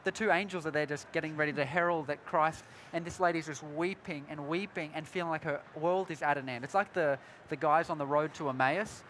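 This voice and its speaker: A man's voice: fairly high pitch, brisk, hardly any pauses, a bit bright, slight background noise. A young man co-hosting a live youth Bible discussion, reflective and story-driven, repeating points for emphasis. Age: young adult. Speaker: co-host of a youth Bible panel. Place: large indoor convention hall stage with crowd. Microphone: headset mic.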